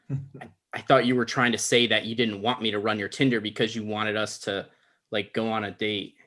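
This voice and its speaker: A man's voice: lowish voice, flat delivery, normal speed, a bit bright, lightly acted. A man playing an awkward, pedantic but well-meaning talk show host, mild and conversational, in a comedy table read.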